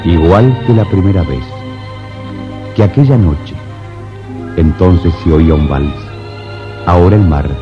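An actor narrating a romantic 1950s radio drama in a grave, poetic, theatrical manner. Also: male voice, sits low. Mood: brooding, lyrical. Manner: theatrical, measured, declamatory. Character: solemn male narrator of a romantic radio play. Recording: muffled 1950s radio recording, loud music. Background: music.

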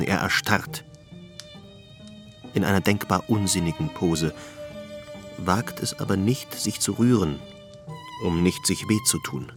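A man narrating a German radio drama in a measured, deliberate, sombre literary style. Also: lowish voice.

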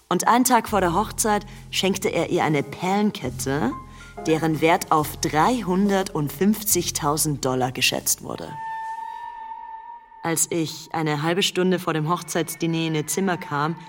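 Casting: young socialite storyteller, low voice, cool and worldly, radio drama, music underneath. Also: female voice. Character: cool, worldly young society woman recounting memories. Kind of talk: radio play storytelling in German.

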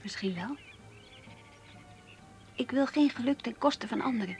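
An actress playing an earnest, lovestruck young woman confiding her diary in a radio play, in a hushed, breathy voice. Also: a little high, unhurried, soft background music, natural voice. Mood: lovestruck, uneasy. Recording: muffled, lo-fi radio play recording.

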